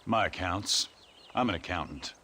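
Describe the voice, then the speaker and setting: Deep-voiced, ominous American stranger. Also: male voice, normal speed, pushed and bright, slight background noise. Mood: calm, cryptic. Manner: cryptic, unruffled. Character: enigmatic, calm, ominous stranger in the Old West. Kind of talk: video game cutscene acting.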